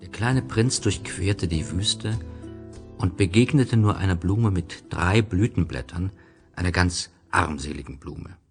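Slow, gravelly male storyteller. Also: deep voice, over music. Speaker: narrator. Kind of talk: scripted storybook narration.

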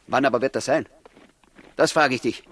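An actor playing a bitter, sceptical rebel of ancient Judea, sarcastic and challenging, in 1960s German radio drama style. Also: male voice, medium pitch, normal speed, fairly bright tone, close to an everyday voice.

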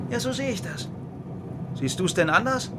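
An actor playing an embittered, conspiratorial former East security officer in a radio crime drama, blunt and pressing. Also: male voice, high voice, sing-song, normal speed. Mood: indignant. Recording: loud car interior noise.

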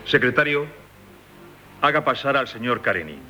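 Male, Castilian Spanish, bright forceful delivery: a courteous, probing examining magistrate, formal radio drama style. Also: mid-range voice, lively intonation, normal speed. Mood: stern, official. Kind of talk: 1970s Spanish radio theatre acting.

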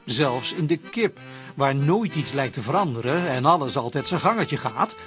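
A man's voice: mid-range voice, expressive, normal speed, fairly bright tone, lightly acted. A man reading a scripted introduction as the storytelling narrator of a radio comedy serial. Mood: wry storytelling. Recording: studio voice over accordion music.

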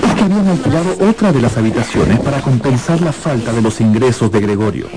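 A man narrating a dramatized radio novel in an expressive storytelling manner with deliberate pauses. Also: mid-range voice, heavy background noise. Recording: loud music bed under the voice.

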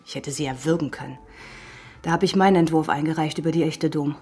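Casting: young female artist, radio play, low soft voice, deliberate, provocative, faint music beneath. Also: husky. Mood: tender, bitter. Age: young adult. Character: petite, very young woman artist, posed, tender, bitter. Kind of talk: radio drama dialogue.

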